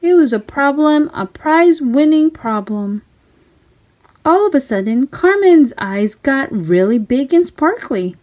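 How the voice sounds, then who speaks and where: A woman narrating a children's storybook aloud in an expressive, deliberate way. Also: sits high, dull audio.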